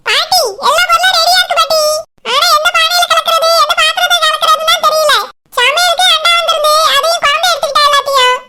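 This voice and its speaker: Lady doing earnest helper kid boy, kanyakumari tamil, very squeaky pitched up cartoon, noisy track. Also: steady tone, fast. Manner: explaining, dutiful.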